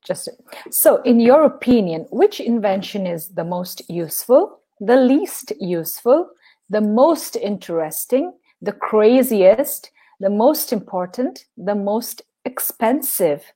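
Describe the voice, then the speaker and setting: Slow-talking cheerful woman teacher. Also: medium pitch, expressive, dark tone, slightly rough. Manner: cheerful, laughs often, enthusiastic. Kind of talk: live online English lesson.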